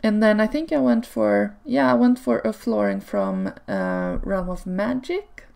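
A woman whose first language is Swedish, chatting casually over gameplay footage in a relaxed way, with frequent ums and small laughs. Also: mid-range voice, unhurried, gravelly. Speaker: video creator. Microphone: home desk microphone.

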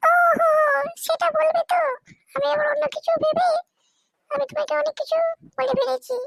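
A performer voicing a haughty, hot-tempered cartoon schoolgirl with a shrill delivery. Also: fairly even intonation, moderate pace, gravelly, heavy background noise. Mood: softened, friendly. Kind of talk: comedy cartoon dialogue.

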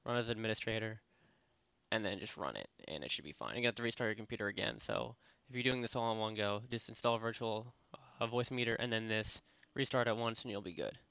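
A man narrating a software tutorial in a casual, unscripted, rambling way, speaking informally with lots of filler phrases. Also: fairly low pitch, fast talker, vocal fry, clear, resonant tone, dry recording. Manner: rambling, informal, repeats "basically" and "you know". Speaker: tutorial presenter. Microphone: close headset microphone.

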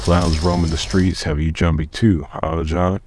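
A man voicing a watchful, world-weary middle-aged man in a comic dub, terse, measured and dryly wry. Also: sits low, little pitch movement, very noisy. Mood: dry, reassuring. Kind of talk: dramatic dialogue. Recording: music behind the voice.

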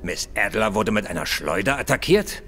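Brusque, grudging police inspector. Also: male voice, fairly low pitch, lively intonation, normal speed, vocal fry, sharp tone, breathy edge, exaggerated voice. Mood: brusque, self-assured. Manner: officious, brusque. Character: grudging, self-assured male police inspector, curt and formal. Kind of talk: German audio drama acting.

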